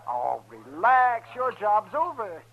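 An American actor playing a folksy, breezy older town doctor in a 1950s radio western. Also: male voice, high-pitched, sing-song, slow pace, gravelly, exaggerated voice. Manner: folksy, chatty. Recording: dull vintage radio recording.

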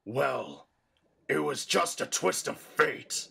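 A man playing a boastful, menacing pirate villain in an anime fan dub, with a gravelly, growling, theatrical delivery. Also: mid-range voice, sing-song, takes it slowly, bright, forceful tone, full character voice. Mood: recounting. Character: gleeful, boastful hulking pirate villain wielding darkness.

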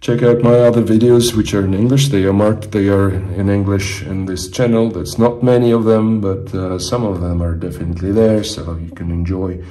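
A middle-aged man from Russia, a native Russian speaker, giving a casual, halting demonstration as an enthusiast presenter in his basement. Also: lowish voice, fairly even intonation, warm tone. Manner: halting, hesitant delivery. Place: indoor basement.

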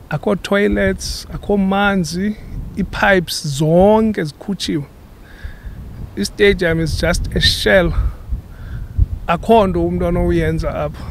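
A middle-aged South African man giving an earnest, measured on-camera statement outdoors, speaking into a handheld reporter microphone and switching between isiXhosa and English. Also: sits high, vocal fry, slight background noise. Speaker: local businessman making a public appeal. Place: outdoors at an old sports stadium.

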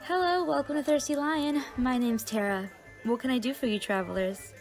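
Woman as a perky, high-voiced young waitress. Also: normal speed. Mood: cheerful. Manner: bright, gushing. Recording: music bed under the voice.

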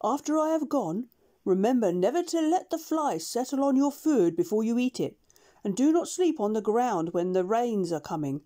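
A woman's voice: fairly high pitch, lively intonation, normal speed, mellow, natural voice. A woman voicing a kind, absent-minded older male doctor, speaking mildly and hesitantly. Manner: mild, hesitant, mumbling.

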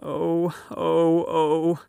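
Storybook faun played by a male reader: courteous, guilt-ridden, sorrowful, slightly gravelly. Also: medium pitch, a little slow, no room sound, close to an everyday voice. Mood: sobbing, guilty. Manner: sobbing, self-reproaching, courteous.

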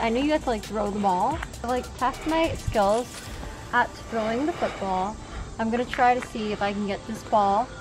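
High, slightly hoarse young woman vlogging. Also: poor audio. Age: young adult. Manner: giggly, filler-heavy, apologetic. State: slightly hoarse, losing her voice. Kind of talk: casual vlog talk to camera. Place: outdoor park playground. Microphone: handheld camera mic, sometimes far camera. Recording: outdoor camera audio under background music. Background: background music.

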